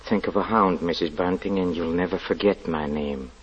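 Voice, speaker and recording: An actor playing a stern, religious male lodger who speaks in halting, hesitant phrases in a vintage radio drama. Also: low voice, a little hiss or hum, natural voice. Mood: brooding. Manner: halting, hesitant speech with sudden sharp outbursts. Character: stern pious male lodger, brooding and sinister. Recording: dull lo-fi old radio recording.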